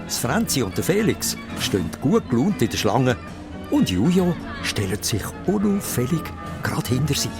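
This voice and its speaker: A man with a Swiss German accent narrating a children's radio play in an animated storytelling style. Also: medium pitch, moderate pace, vocal fry. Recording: music behind the voice.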